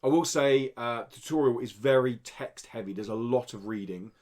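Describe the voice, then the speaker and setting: Male podcast co-host, casual and keen, loose rambling delivery, mid-range pitch. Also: normal speed, darkish tone. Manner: rambling, enthusiastic. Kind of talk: casual gaming podcast chat.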